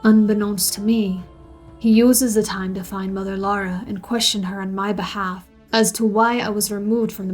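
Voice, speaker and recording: A woman plays a male drow elf recounting his own tale in a fantasy audio drama. The voice is gravelly, with a sulky, self-deprecating wryness. Faint music plays behind the narration. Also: medium pitch, a little mellow, close to an everyday voice. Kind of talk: first-person fantasy audio story narration.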